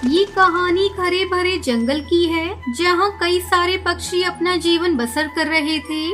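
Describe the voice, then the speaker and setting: बहुत ऊँची आवाज़ वाली महिला कथावाचक. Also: female voice, very high-pitched, measured pace. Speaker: story narrator. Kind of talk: scripted children's cartoon story narration. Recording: music under the narration.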